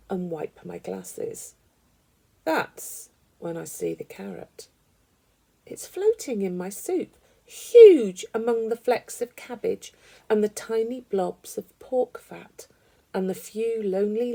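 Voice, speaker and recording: A woman reading a children's story aloud with animated, dramatic emphasis. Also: medium pitch, vocal fry. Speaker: storybook reader.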